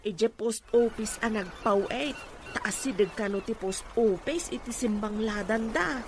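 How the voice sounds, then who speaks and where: High, chatty Ilocana village woman. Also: unhurried. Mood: chatty, helpful. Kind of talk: Filipino radio drama acting. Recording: clearly noisy ambience behind.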